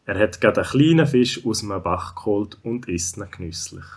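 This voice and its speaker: A man's voice: fairly low pitch, lively intonation, normal speed. A man with a Swiss German accent narrating a scripted children's story.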